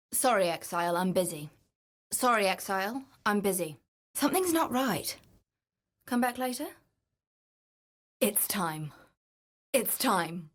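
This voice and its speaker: An actress playing an adventurous, greedy woman treasure hunter in a video game, confident, wry and theatrical. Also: medium pitch. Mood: bold, determined. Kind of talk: video game character voice lines.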